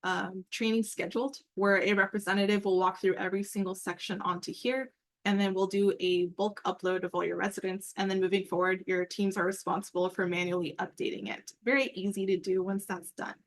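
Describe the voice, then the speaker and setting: Female meeting presenter, medium pitch, conversational and upbeat, explaining step by step on an informal online call. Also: flat delivery, brisk. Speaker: meeting presenter and program coordinator. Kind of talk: informal work call presentation with screen walkthrough.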